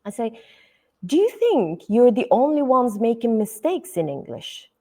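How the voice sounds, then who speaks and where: Middle-aged woman from Turkey, native Turkish speaker; unhurried, thoughtful interview guest, filler-heavy. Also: fairly high pitch, very animated, mellow. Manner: thoughtful, many fillers, repeats "et cetera". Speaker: guest educator. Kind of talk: podcast interview.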